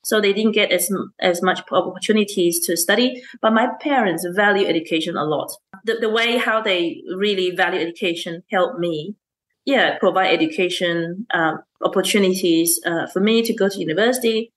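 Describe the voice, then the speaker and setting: A woman's voice: lowish voice, normal speed. A woman, a teacher answering interview questions in a hesitant way, with many fillers and restarts and frequent laughs. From Malaysia. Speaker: STEM teacher and councillor.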